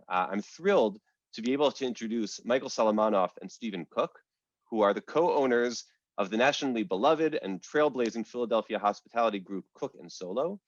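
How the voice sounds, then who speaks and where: A man hosting and introducing guests over a video call, speaking in a measured way with frequent "uh" fillers. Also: on the low side, no room sound. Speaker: academic host and moderator. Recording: dull, lo-fi video call audio.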